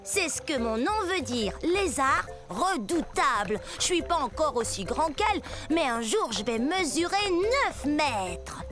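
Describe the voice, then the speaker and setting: High-pitched, eager little dinosaur kid. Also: female voice, sing-song, measured pace, hardly any pauses. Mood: boastful, excited. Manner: theatrical, addressing the viewer directly. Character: eager, boastful young child Gorgosaurus dinosaur. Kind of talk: children's educational cartoon narration. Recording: music behind the voice.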